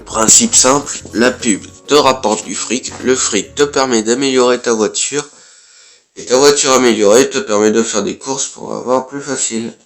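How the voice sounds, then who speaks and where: A man's voice: mid-range voice, normal speed, soft background music. A man, who has a cold, giving casual, blunt let's play commentary as a gaming YouTuber and swearing offhandedly.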